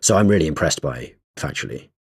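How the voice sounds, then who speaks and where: A man co-hosting a podcast in casual chat, animated and stumbling over his words as he gets going. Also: very deep voice, brisk, slightly rough. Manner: animated, restarts often, stresses words. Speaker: podcast co-host.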